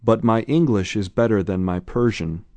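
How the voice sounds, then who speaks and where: A man serving as a model speaker in a language course, giving plain, measured lines. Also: on the low side, darkish tone, no room sound. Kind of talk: scripted learner lines.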